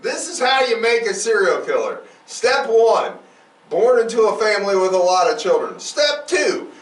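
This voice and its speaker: A man's voice: high-pitched, very animated, moderate pace. A man hosting a true crime podcast, reading out case notes with irreverent, sweary, casual asides. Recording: roomy, distant mic, faint background noise.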